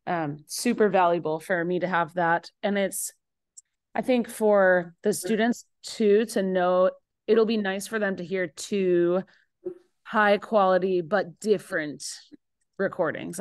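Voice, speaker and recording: Female moderator, slightly low pitch, casual meeting chat, appreciative and encouraging delivery. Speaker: session moderator and choir teacher.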